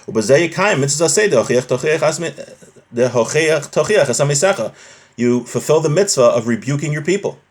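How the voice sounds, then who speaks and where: A man's voice: a little high, expressive, a little fast. A man giving an informal lesson in religious law, reading Hebrew phrases from a text and explaining them conversationally with rhetorical questions. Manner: switches between Hebrew quotations and plain explanation.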